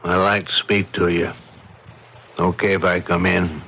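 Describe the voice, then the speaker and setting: A gruff American man of middle age in an old radio mystery. His voice sits very low and moves slowly, curt and greedy, with a dull, muffled recording. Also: flat delivery, bright, forceful tone, a little hiss or hum, exaggerated voice. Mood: gruff, probing. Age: middle-aged. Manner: curt, surly. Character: gruff, greedy, impatient widowed father, a murder suspect. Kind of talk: radio mystery drama acting.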